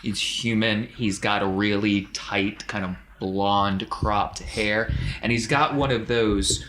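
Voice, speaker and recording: A man's voice: fairly low pitch, fairly even intonation, takes it slowly, background noise. A man narrating a tabletop role-playing game as game master.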